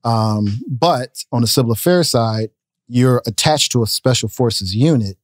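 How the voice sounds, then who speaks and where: Relaxed man in a dry studio. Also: mid-range voice, measured pace, no echo. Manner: relaxed, talks with his hands. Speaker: guest business owner. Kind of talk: casual interview storytelling. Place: podcast studio, indoors. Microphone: studio mic on a boom arm. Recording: dry close studio sound.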